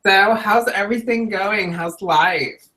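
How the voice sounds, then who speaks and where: A woman's voice: mid-range voice, slow, a bit bright, rough recording. Chatty, laughing woman on a video call. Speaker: co-host. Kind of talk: casual video-call chat.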